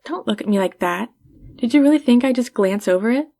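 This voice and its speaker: A woman's voice: fairly high pitch, expressive, fairly quick, mellow. A woman playing a haughty supervillain in an ASMR roleplay, condescending and teasing toward the listener but secretly caring.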